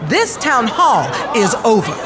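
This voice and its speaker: A woman's voice: on the high side, big pitch swings, unhurried, music in the background, a crowd in the background. A woman playing a scornful, imperious city council official, stern and dismissive, in an audio drama.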